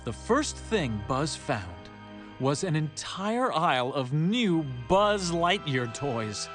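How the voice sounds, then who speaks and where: A man narrating a scripted children's read-along story in an expressive, animated storytelling manner. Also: on the high side, slow. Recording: music behind the narration.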